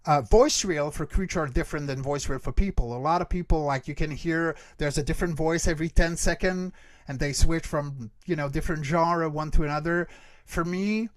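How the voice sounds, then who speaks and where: Guy, kinda high voice, casual expert explaining stuff with examples, no room echo. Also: a little fast. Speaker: guest expert, creature voice actor and workshop teacher. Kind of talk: casual explanatory interview answers.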